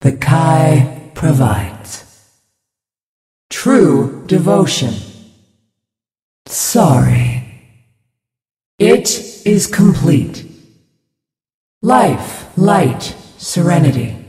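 A solemn spirit-healer from a game, speaking in calm, measured, sermon-like pronouncements. The voice sits fairly high for a man and moves very slowly. It rings out from far away with a long, hall-like reverb. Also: gravelly, exaggerated voice. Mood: serene. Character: serene, solemn spirit-healer of a mystic order. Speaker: game character voice. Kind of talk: game voice lines. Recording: echoey with long reverb, distant.

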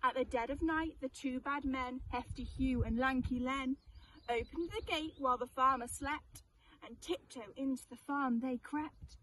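A woman reading a children's story aloud in an expressive, child-friendly storytelling manner. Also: high-pitched, measured pace, a little hiss or hum, rough recording. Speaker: storybook reader.

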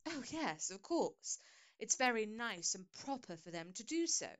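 A woman's voice: a little high, very animated, normal speed. An actress playing a haughty, condescending young woman in an audio drama. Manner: condescending, prim.

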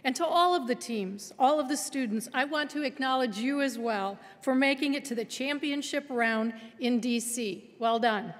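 A woman's voice: high-pitched, normal speed, few pauses. A middle-aged woman giving a formal keynote address at a lectern, congratulating students with emphatic delivery. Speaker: keynote speaker. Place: large auditorium stage at a lectern. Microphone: lectern microphone. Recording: long auditorium reverb.

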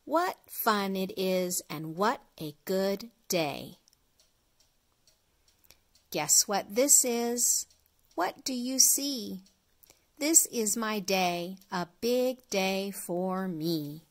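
Slow, deliberate woman reading to children. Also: mid-range voice, expressive. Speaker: storyteller, children's read-along host. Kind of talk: read-aloud of an early reader picture book for young children.

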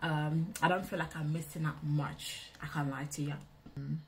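Low-voiced young Nigerian woman vlogger. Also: little pitch movement, normal speed, a little hiss or hum. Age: 20s. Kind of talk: casual Q&A chat to camera. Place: small indoor room.

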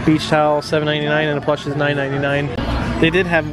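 A man's voice: on the high side, level tone, fast, loud music behind the voice, over a noisy crowd, low-quality recording. A man in his forties with an American accent, chatting casually as a vlog co-host and reading out prices as he shops. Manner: chatty, reads out prices while shopping. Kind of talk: casual chat. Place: parked car at night.

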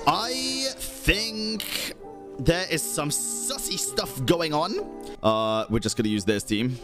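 Excitable, swearing young streamer guy. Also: medium pitch. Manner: excitable, swears often, talks to chat. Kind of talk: casual game commentary. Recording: game music and sound underneath.

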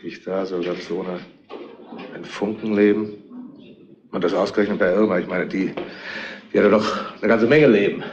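An actor playing a blunt, crude-humoured tavern regular in a retro radio crime play, rambling and insinuating in colloquial speech. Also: male voice, deep voice, fairly even intonation, normal speed, long pauses, a little mellow, close to an everyday voice. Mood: shaken. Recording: dull, band-limited old radio recording.

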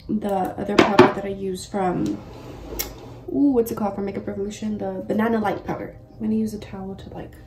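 A woman's voice: mid-range voice, noisy background. A woman in her mid twenties chatting casually and slangily, with frequent exclamations, as she does a makeup tutorial at home. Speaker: makeup vlogger. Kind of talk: casual chat while doing a makeup tutorial. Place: bedroom-like room at home. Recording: roomy echo, distant mic, faint music.

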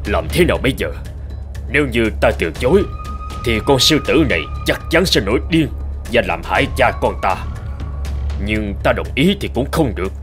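A man's voice: mid-range voice, very animated, a bit bright, faint music behind. A man voicing a shrewd, protective old woodcutter father in a cartoon fable, cautious and wily. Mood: worried. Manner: cautious, wily, measured bargaining.

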